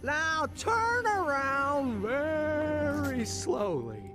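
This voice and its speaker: A man playing a folksy, rambling backup security program in an animated video game. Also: very high voice, drawn-out words, over music, exaggerated voice. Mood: suspicious.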